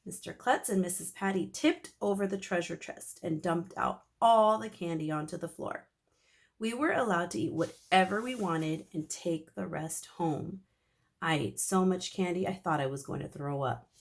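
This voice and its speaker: Woman teacher reading a kids' book aloud, lowish gravelly voice, very expressive swoops. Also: normal speed. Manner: expressive, animated storytelling.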